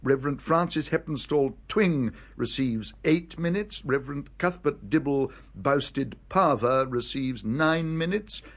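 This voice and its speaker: An actor playing an unflappable, deferential English gentleman's valet in a radio drama, speaking formally and impassively. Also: a little high, natural voice.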